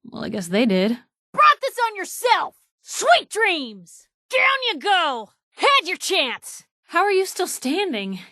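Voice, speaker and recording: A woman playing a sassy, warm-hearted young reporter and loyal companion in a video game, talking in wry, breezy, clipped slang. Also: very high-pitched, huge pitch swings, slow, dry recording, clean studio sound, heavily acted. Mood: wry, teasing, then fierce, urgent. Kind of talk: game voice lines, short reactive barks.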